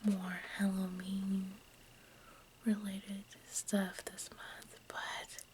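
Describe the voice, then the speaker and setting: Whispering woman, slow and halting. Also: mid-range voice, monotone, a little mellow, a little hiss or hum. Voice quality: breathy unvoiced whisper. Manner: halting, stumbles over words while reading. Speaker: ASMR video host. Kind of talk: whispered ASMR, informal reading of history.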